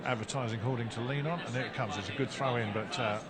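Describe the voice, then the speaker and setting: A man's voice: mid-range voice, quick pace. A man giving live play-by-play football commentary, often correcting himself as the action unfolds. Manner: excitable play-by-play with frequent self-corrections. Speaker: match commentator. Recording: loud crowd noise behind commentary. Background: stadium crowd.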